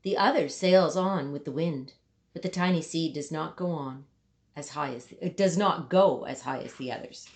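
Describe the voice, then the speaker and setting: A woman reading a children's picture book aloud, with dramatic emphasis and deliberate pauses for young listeners. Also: deep voice. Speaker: storybook reader.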